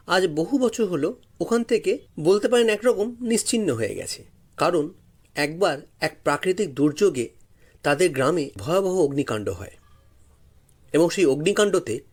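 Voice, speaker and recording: Male storyteller, slightly high voice, very animated dramatic narration, music underneath. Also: breathy edge. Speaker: storyteller, channel host.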